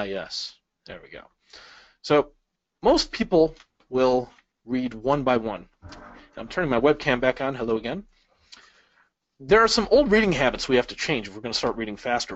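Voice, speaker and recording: A man teaches a webinar from a small room over a webcam, friendly and full of questions for his audience. The sound is dry and a little dull, with no room echo and a muted top end. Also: medium pitch. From Chicago, US. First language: Polish. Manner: friendly, asks the audience questions, light jokes. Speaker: speed-reading instructor. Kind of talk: webinar lesson with slides. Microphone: webcam inset.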